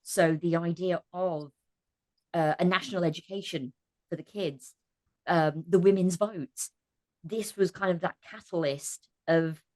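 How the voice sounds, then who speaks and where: Female podcast guest, voice actor, lowish pitch, reflective and conversational, dry acoustic. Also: a little mellow, no room sound. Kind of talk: reflective interview answers, casual.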